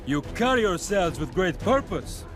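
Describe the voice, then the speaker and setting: A stately, courteous keeper of trials from a video game. He speaks formally with lively swings in a slightly high voice, over clearly audible music. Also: moderate pace. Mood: courtly. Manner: formal, theatrical. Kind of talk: animated video game character acting. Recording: music behind the voice.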